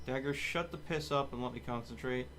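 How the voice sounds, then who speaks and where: A man's voice: mid-range voice, brisk, a bit bright, a little hiss or hum. A man hosting a gaming livestream, chatting casually as he plays and sounding mildly exasperated, with some light swearing. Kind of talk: casual gameplay stream chat.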